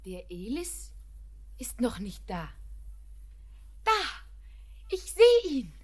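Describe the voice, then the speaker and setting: Young granddaughter, tender and wistful, very high and husky, in lyrical radio drama. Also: female voice, huge pitch swings, very slow, heavily acted. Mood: gentle. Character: tender, dreamy young granddaughter in a mining village. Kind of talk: poetic German radio play acting.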